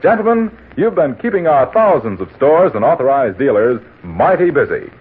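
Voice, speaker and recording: A male announcer with a lively, swooping delivery and a husky edge. He sells in polished 1940s radio fashion. The broadcast sounds narrow and tinny. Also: mid-range voice, moderate pace.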